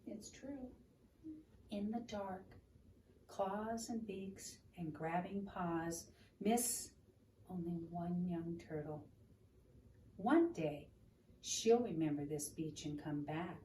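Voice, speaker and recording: A woman reads aloud for children in a slow, gentle, teacherly manner. Her tone is mellow and she pauses to explain things. The recording is roomy, with some noise in the room. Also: fairly low pitch, far from the mic. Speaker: children's storytime reader. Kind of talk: read-aloud picture book with explanations for a child.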